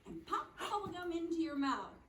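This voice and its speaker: A woman's voice: very high-pitched, lively intonation, moderate pace, noisy background. A woman giving playful, coaxing sing-along instructions to young children in simple phrases. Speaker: children's action-song leader. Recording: echoey, distant playback under loud music. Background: loud children's song music.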